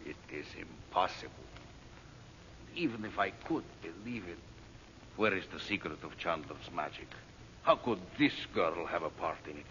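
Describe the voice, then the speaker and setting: Casting: ruthless mastermind scientist for a radio serial; low, commanding, menacing delivery; muffled, hissy vintage sound. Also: male voice, lively intonation, moderate pace, pushed and bright. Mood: uneasy. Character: imperious male villain scientist, ruthless would-be world ruler. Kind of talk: radio serial melodrama acting.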